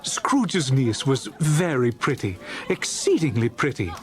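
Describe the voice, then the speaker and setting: A man playing a genial storyteller of a Victorian Christmas tale, narrating with a jovial, relishing, expressive manner. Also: medium pitch, measured pace. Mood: playful relish. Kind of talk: dramatized audiobook narration.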